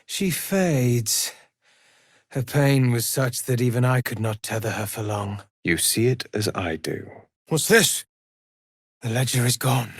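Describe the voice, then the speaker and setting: An actor playing a mysterious, brooding male avenger in a video game, speaking in grave, measured, formal phrases with a gravelly, hushed edge. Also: medium pitch, expressive, dry recording, studio quality. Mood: cryptic, solemn. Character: mysterious, brooding male avenger who hunts and torments murderers. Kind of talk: dramatic video game voice acting.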